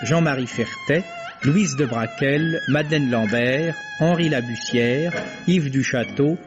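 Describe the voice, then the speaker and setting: A man announcing the credits of a radio drama in a formal, deliberate way. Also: medium pitch, huge pitch swings. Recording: dull vintage radio recording with music.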